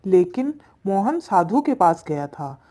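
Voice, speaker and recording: A woman's voice: lowish voice, very animated, takes it slowly, mellow. A woman reading scripted narration as the storyteller of a cartoon fable.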